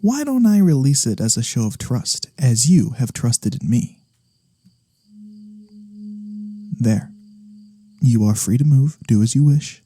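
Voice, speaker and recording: A man playing a gentle, courteous incubus demon in a soft-spoken ASMR fantasy roleplay, polite and a little hesitant, with a breathy voice that sometimes drops to a whisper. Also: medium pitch, big pitch swings, moderate pace, dry recording. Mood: reassuring, playful.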